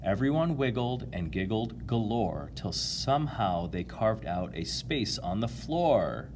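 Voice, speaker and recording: A man's voice: on the low side, big pitch swings, measured pace, background noise. A man reading a picture book aloud to young listeners, with casual asides. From United States, Illinois.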